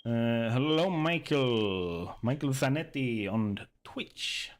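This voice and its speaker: A man's voice: mid-range voice, lively intonation, takes it slowly. A man from Sweden with a Swedish accent, chatting casually on a game livestream, thinking aloud and reading chat. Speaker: streamer.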